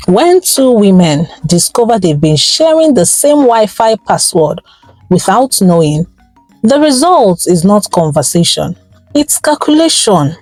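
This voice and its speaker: Highly expressive Nigerian woman storyteller. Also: soft background music, rough recording. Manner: theatrical, expressive, with drawn-out words and exclamations. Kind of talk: dramatic folktale storytelling narration.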